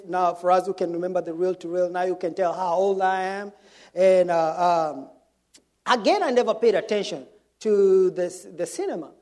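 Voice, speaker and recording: Kenyan man giving church testimony. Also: high voice. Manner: warm storytelling with frequent fillers. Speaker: missionary speaker.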